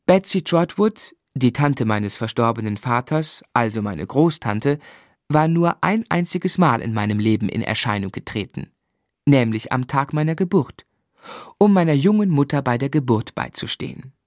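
An actor playing a grown man narrating memories of his hard boyhood in a measured, reflective literary style. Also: medium pitch, animated, no echo, clean studio sound, natural voice. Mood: reflective, wistful.

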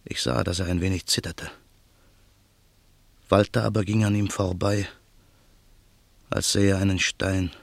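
A brooding avenger at night. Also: male voice, fairly even intonation, unhurried, vocal fry, long pauses, no room sound, full character voice. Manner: low, brooding inner monologue, grim and bitter. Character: vengeful man waiting in a car at night. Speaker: narrator and protagonist. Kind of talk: 1950s radio drama acting.

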